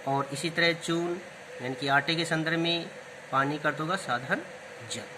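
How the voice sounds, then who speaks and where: Male teacher, patient and repetitive, fairly high voice, air conditioner noise in the room. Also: normal speed. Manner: explains step by step, repeats key terms. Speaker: Hindi literature teacher. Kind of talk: classroom-style explanation of word meanings.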